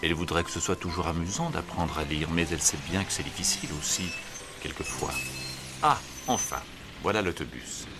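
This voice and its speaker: A man narrating a children's cartoon tale in an expressive storybook manner. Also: deep voice, a little fast, music in the background.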